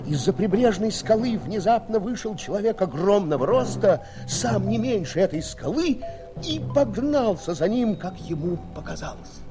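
An actor telling a sea adventure tale as the theatrical narrator of an audio play. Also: male voice, high voice. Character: storyteller of a sea tale, doubling as ship's captain. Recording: vintage mono record, music behind.

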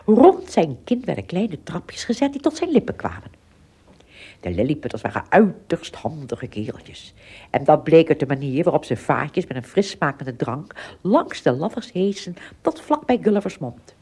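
A woman narrating a scripted children's story expressively, with dramatic pauses and little asides. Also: deep voice, very animated, warm tone, breathy edge.

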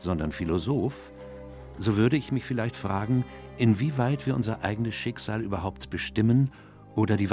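An actor playing a reflective, loyal elderly doctor narrating his memoirs in a measured, literary way in a radio play. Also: fairly low pitch, close to an everyday voice. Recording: music under the voice.

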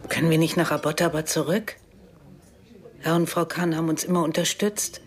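A woman's voice: low voice, steady tone, a little fast, lightly acted. A woman playing a worried, cautious Pakistani housekeeper and mother in a radio drama.

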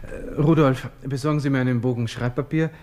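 Over slight hiss and noise, a man plays a young Austrian lieutenant. His mid-pitched, mellow voice gives short, commanding orders in a radio play. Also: fairly even intonation, a little fast, lightly acted. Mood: curt, strained. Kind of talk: radio drama dialogue.

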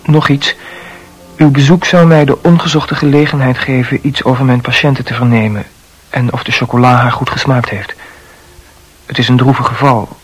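An actor playing an earnest, awkward young male medical student in a radio play, formally polite, with a gravelly fry. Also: mid-range voice, steady tone, moderate pace, soft background music, natural voice, rough recording. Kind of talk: Dutch radio play acting.

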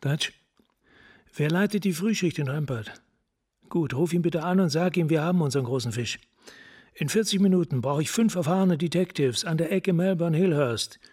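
An actor playing a commanding detective sergeant in a German radio crime drama, brisk and dry as he gives orders. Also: on the high side, a little mellow, breathy edge.

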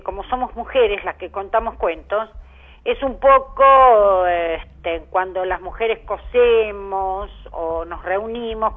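A woman with an Argentine accent, speaking over the telephone as a storyteller being interviewed on the radio. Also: a little high. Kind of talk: conversational phone interview. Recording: muffled telephone line on radio.

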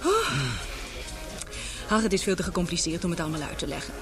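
A woman's voice: on the low side, big pitch swings, fast, over music. An actress playing a spirited, prickly young woman scientist in an old-fashioned radio play, articulate and self-assured. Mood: curt, irritated.

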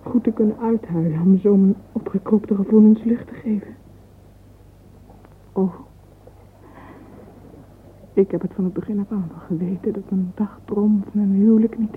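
An actress playing a dreamy, vain young woman in a radio drama monologue, intimate, sentimental and often breathy. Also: medium pitch, close to an everyday voice. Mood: fretful. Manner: intimate, wistful, often breathy and half-whispered. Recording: narrow phone-like old radio recording, faint hiss.

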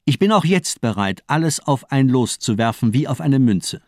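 A nihilist doctor and killer in a crime radio play. He declaims with grandiose conviction, his mid-pitched voice rising and falling dramatically. The sound is close and dry. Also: expressive, normal speed, no echo. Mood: cold, challenging. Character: fanatical nihilist doctor, cold male torturer and murderer.

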